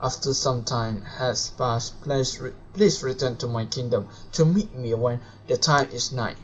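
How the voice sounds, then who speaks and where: A man who is a non-native English speaker, live streaming a game and reading its dialogue aloud in a halting, stumbling way. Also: mid-range voice, a little hiss or hum. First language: not English. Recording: dull lo-fi mic with music behind.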